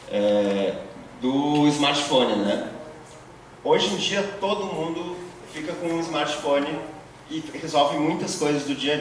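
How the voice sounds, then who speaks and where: A man lectures to a class, working through concepts patiently and tagging his points with little checks for agreement. He sits far from the microphone in a very reverberant room. A faint room hum runs underneath. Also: fairly high pitch. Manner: many fillers and tag questions, explanatory. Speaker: course instructor. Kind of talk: university lecture. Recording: very echoey, distant, faint room noise.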